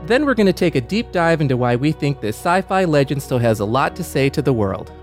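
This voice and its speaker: A man's voice: on the high side, very animated, a little fast, over music. A man hosting a podcast in a conversational style. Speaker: podcast host.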